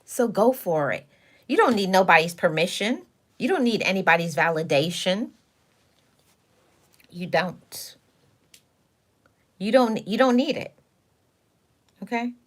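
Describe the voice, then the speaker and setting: A woman's voice: medium pitch, big pitch swings, a little slow, long pauses. A woman hosting a livestream, talking casually and sassily to her chat, giving motivational advice in a preachy, straight-talking way. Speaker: livestream host.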